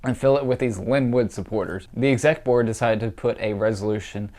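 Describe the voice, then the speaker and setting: A man's voice: lowish voice, a little fast, a little mellow. A young man talking in casual political commentary, congested and nasal from allergies, opinionated and scornful with many fillers. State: congested, stuffy nose from allergies.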